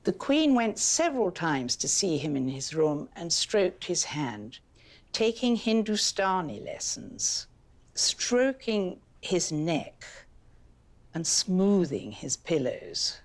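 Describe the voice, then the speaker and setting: A woman's voice: on the low side, big pitch swings, slow. A woman, conversationally explaining history as an interviewed expert.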